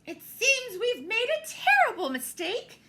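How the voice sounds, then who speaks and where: A forthright mother bulldog acted by a woman reading aloud speaks high and emphatically. She is an earnest storybook character, deliberate in her phrasing, with a swooping pitch. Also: wildly swooping pitch, pushed and bright, heavily acted. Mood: urgent.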